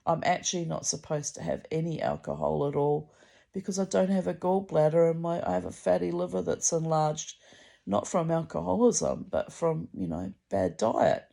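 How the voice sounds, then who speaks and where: Middle-aged woman, deep voice, heavy vocal fry, dark mellow tone, candid sweary confessional rambling, dramatic delivery. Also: normal speed. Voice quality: very deep, gravelly voice. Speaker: personal vlogger.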